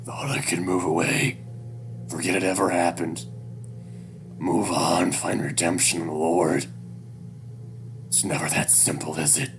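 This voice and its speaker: A man voicing a broken, resigned father, a scruffy furry animal, with heavy ragged breath and halting, bleak delivery. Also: low voice, little pitch movement, noisy background. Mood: bleak resignation.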